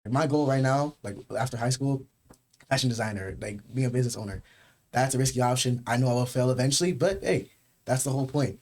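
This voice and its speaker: A man's voice: mid-range voice, a little mellow. A teenage boy, a class president, speaking enthusiastically and correcting himself as he goes. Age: teens. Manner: enthusiastic, fast, self-correcting.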